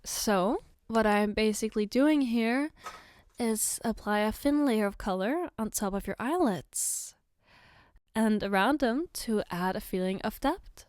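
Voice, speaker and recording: A woman playing an affectionate, gently teasing girlfriend in a soft-spoken ASMR roleplay, tender and reassuring. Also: on the high side, clear, resonant tone, no echo, studio quality, natural voice. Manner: tender, reassuring, playfully coaxing, unhurried. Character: affectionate, gently teasing girlfriend doing her partner's makeup.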